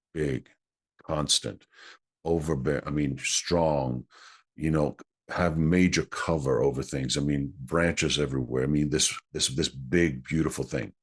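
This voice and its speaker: A man's voice: rumbling low, steady tone, a little slow, breathy edge. A man whose first language is Greek, an executive coach answering interview questions in an earnest, explanatory way.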